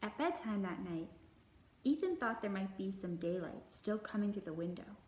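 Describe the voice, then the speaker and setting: Female children's storytime reader, deliberate and expressive, mid-range voice, echoey room. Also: mid-range voice. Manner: slow, deliberate, expressive phrasing. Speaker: children's librarian hosting storytime. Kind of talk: picture book read-aloud for young children. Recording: roomy echo, faint background noise.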